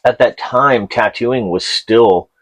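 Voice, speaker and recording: A man's voice: mid-range voice, slow pace, slight background noise. A man hosting a casual interview, encouraging his guest with frequent short affirmations. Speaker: podcast host.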